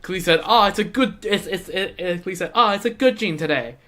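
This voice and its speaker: A game streamer giving casual live commentary, halting and repetitive, with offhand asides in gamer slang. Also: medium pitch, fairly bright tone. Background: game music.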